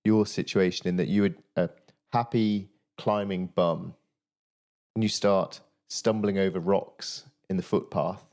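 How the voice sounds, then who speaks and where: A man with a very low, deep voice hosting an interview. He asks his questions in a measured, thoughtful way, laying out his ideas before he asks. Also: a little mellow. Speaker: podcast host, interviewer.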